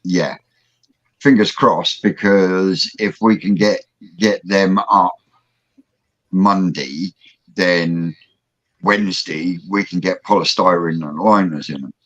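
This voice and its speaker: A man's voice: low-pitched, takes it slowly, pauses often, a bit bright. A man co-hosting a casual live stream, chatting at length about his pond filtration plans with lots of restarts. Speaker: co-host. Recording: dull lo-fi stream audio.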